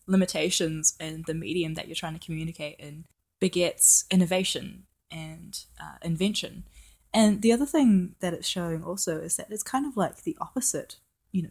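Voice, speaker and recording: A woman's voice: lowish voice, a little mellow. A young woman co-hosting a podcast in casual chat, playful, laughing a lot and acting out quotes. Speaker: podcast co-host.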